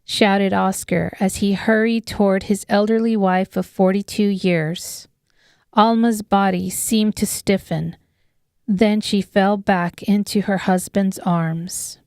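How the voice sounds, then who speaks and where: A woman's voice: mid-range voice, steady tone, takes it slowly, a little mellow, clean tone, dry recording, studio quality. A woman reading scripted narration of an apocalyptic horror audiobook. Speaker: author narrating her audiobook.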